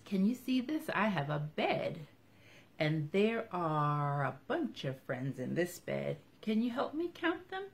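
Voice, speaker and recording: A woman's voice: fairly low pitch, big pitch swings, slow, creaky. A woman hosting a storytime for young children, talking to them in simple, instructive phrases. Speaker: children's library storytime host.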